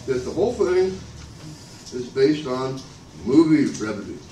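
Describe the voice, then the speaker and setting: An older man speaking loudly and abrasively in a rambling public comment at a meeting. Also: animated, a little slow. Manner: loud and booming, abrasive, rambling and aggrieved. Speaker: member of the public giving comment. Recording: echoey room from far off, noisy.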